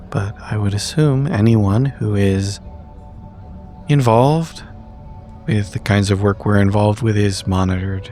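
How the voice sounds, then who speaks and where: A man playing a cautious, analytical desk agent in a tabletop roleplay, speaking in a measured, rather formal way. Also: fairly low pitch, a little mellow, natural voice. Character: cautious, analytical intelligence agent, not a field person. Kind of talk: improvised tabletop roleplay dialogue.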